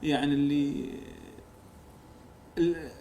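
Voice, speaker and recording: A man with a southern Iraqi accent from Amarah, a guest poet chatting in an interview and reciting verse slowly. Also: fairly high pitch, steady tone, dark tone, echoey room, a little hiss or hum. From Iraq. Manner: measured, drawn-out recitation of verse. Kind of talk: conversational interview and slow poetry recitation.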